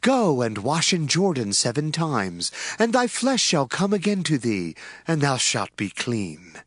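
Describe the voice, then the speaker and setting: An actor playing a prophet's eager servant in a dramatized scripture reading, formal and theatrical. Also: male voice, a little high, wildly swooping pitch, unhurried, fairly bright tone, dry recording, heavily acted. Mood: instructing. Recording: music behind the voice.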